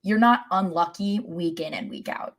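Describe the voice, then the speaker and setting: A woman's voice: on the low side, normal speed. A woman co-hosting a soccer podcast, giving casual but emphatic and opinionated match analysis.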